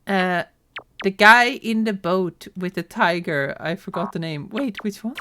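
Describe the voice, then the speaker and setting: A woman's voice: medium pitch, bright, forceful tone. A woman, chatting casually and rambling while playing a game on a stream. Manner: chatty, rambling, quick to laugh. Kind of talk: casual gaming stream chat. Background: game music.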